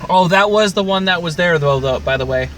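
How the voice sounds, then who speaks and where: A man's voice: sits high, brisk, a bit bright, a little hiss or hum. A man co-hosting a podcast in casual, teasing banter, needling his friend as he talks. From Canada. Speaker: podcast co-host.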